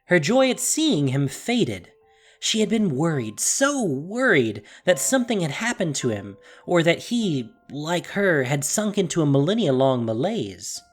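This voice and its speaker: A woman's voice: sits low, sing-song, a little slow, husky. A woman narrating a fantasy story in a solemn, emphatic, dramatic scripted style. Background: music.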